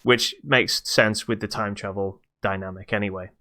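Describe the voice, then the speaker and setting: A man co-hosting a podcast, casually reviewing a video game in a halting but emphatic way. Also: lowish voice, steady tone, bright, forceful tone. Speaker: podcast co-host.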